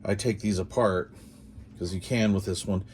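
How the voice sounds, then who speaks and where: A man's voice: sits low, steady tone, moderate pace, a little mellow, a little hiss or hum. A man hosting a casual product unboxing, talking informally and musing aloud in short phrases. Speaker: host of a radio gear channel.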